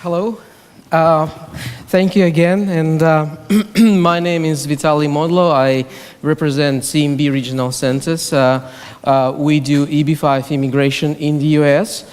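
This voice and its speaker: A man with a Ukrainian accent introduces himself on a conference panel. He talks slowly and haltingly, filling pauses, in a smooth, clear voice. His words ring out in a large hall. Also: fairly high pitch, steady tone, a little mellow. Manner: halting, many fillers. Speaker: regional center director on a panel. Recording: hall reverb from a conference stage.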